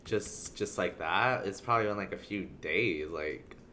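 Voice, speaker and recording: Very low-voiced woman streaming a game. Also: unhurried, fairly bright tone, slight background noise. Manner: musing, reacting aloud. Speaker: livestreamer. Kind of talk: casual game stream commentary.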